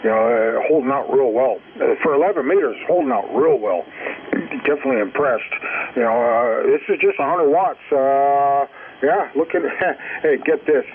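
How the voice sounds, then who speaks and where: A man chats casually over a narrow, phone-like radio signal with faint noise behind him. His voice sits at a middling pitch, and he talks like an operator swapping notes on the air. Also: normal speed.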